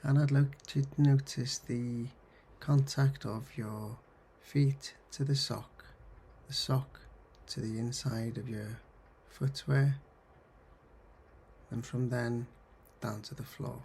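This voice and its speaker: Male meditation guide: unhurried, gravelly and husky, soothing, gently instructive, medium pitch. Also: fairly even intonation, slight background noise. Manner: soothing, gently instructive, pausing between short phrases. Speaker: counsellor guiding mindfulness. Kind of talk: guided meditation, body scan.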